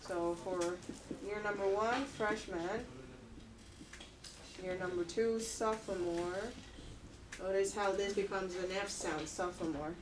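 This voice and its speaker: A woman's voice: mid-range voice, fairly even intonation, slow, long pauses. A woman teaching an English class, patiently explaining and prompting her students in a classroom. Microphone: far-off recorder. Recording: roomy, distant, noisy classroom recording.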